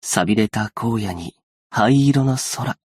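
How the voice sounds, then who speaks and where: Game role: gentle young male aid worker, earnest and patient, polite delivery, slightly husky, very dry recording. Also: on the low side, no room sound. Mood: wistful, calm. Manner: polite, soft-spoken, measured formal speech. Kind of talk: scripted game character voice lines.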